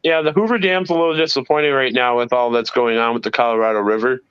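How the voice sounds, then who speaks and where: A man's voice: mid-range voice, steady tone, fast talker, smooth, dry recording, lo-fi sound. A man with a North Carolina accent chatting casually on a livestream, telling long eager stories about his travel plans.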